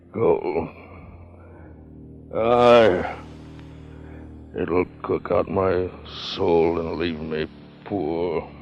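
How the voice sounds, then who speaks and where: Raving, halting gold-crazed treasure hunter. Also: male voice, deep voice, dull audio, full character voice. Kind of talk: 1950s radio drama acting.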